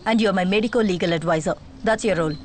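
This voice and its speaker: A woman's voice: fairly low pitch, slow, slight background noise. An actress playing a stern, bossy female police investigating officer with a clipped, commanding manner that mixes in English phrases. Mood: commanding, brisk. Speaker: actor in a dubbed film. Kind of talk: dramatic film dialogue. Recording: music behind the voice.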